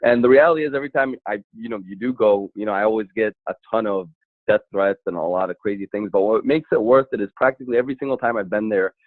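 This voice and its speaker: A man speaking earnestly as a panelist in an online town hall, halting and often repeating words as he goes. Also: on the low side. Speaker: panelist, civil rights attorney and activist. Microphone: internet call. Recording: thin, phone-like online call audio.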